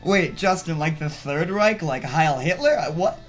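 Man, somewhat high voice, insistent casual gamer banter, clear music in the background. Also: animated, moderate pace. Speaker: gaming video co-host. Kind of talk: casual game race banter.

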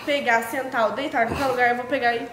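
A woman's voice: fairly high pitch, steady tone, quick pace, fairly bright tone, slight background noise. A young woman chatting casually and easygoingly as a guest on a friend's house tour. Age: young adult. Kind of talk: casual chat. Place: outdoors by a house pool.

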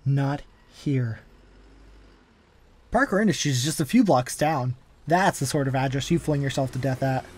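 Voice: medium pitch, moderate pace, long pauses, darkish tone, husky, natural voice. A performer voicing a wisecracking, manic masked antihero in a comic-book dub, flippant and theatrical but caring underneath. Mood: flippant, joking. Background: background music.

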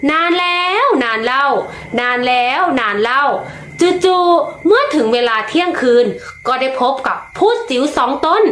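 Lady telling a kids' bedtime story, high sing-song voice, bit of room echo. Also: slight background noise. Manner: slow, lilting, expressive storytelling. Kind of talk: children's storybook reading.

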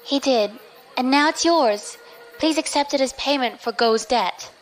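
A woman's voice: high voice, a little slow, soft background music, low-quality recording. An actress playing a poised, dangerous young woman in an audio drama, polite, assured and pointed. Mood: coolly challenging. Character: poised, deadly young daughter of a feared father.

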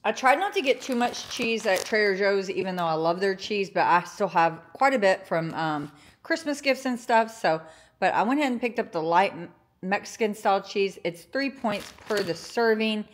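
A young woman talks through her grocery haul from her kitchen counter, chatty and upbeat. Her voice sits at a middle pitch and keeps an easy, conversational flow, with plenty of filler words along the way. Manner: chatty, enthusiastic, lots of fillers like "like" and "um". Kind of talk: casual grocery haul narration.

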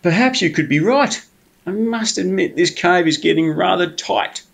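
A man's voice: high-pitched, expressive, normal speed, vocal fry, a bit bright, slightly rough, voice pushed up, full character voice. A man doing a big drowsy bear. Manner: drowsy, mild. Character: big sleepy male bear, drowsy and gentle.

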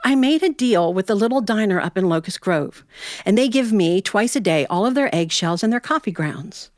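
A woman's voice: mid-range voice, expressive, moderate pace, a little mellow, no echo, studio quality, natural voice. Storyteller playing folksy, hearty American bait-shop woman. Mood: friendly. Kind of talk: live storytelling character voice.

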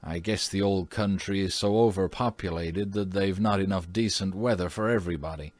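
A man as a calm, dry-witted American diplomat. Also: sits low, normal speed, no echo, close to an everyday voice.

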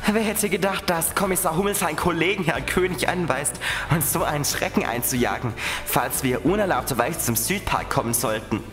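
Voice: mid-range voice, a little fast, fairly bright tone, lightly acted. The voice echoes in a room, with faint music behind. It is a confident, reassuring young detective , played by an actor leading friends in a children's radio play. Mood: thoughtful.

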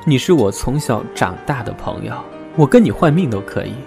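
A man's voice: medium pitch, big pitch swings, fairly quick, gravelly, a little mellow. Actor as bitter, wounded young man, music behind. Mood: hurt, restrained. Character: hurt, bitter young man, betrayed in love. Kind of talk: audio drama acting.

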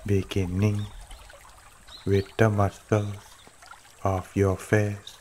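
A man leading a guided meditation as a teacher, speaking gently and unhurriedly in short phrases with long pauses, over running water. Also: fairly low pitch, flat delivery, a little hiss or hum. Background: trickling stream water.

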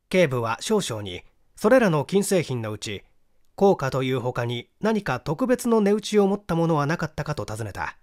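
A man narrating a mystery story from a script in an expressive storytelling manner. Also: on the high side, no room sound, studio quality. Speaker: audiobook narrator, stage actor.

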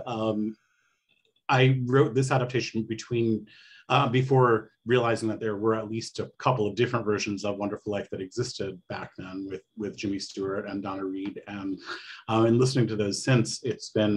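A middle-aged American man from Connecticut with a husky voice, chatting casually as an interview guest on a video call. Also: fairly low pitch, fairly even intonation, normal speed. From Connecticut, United States. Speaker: guest playwright.

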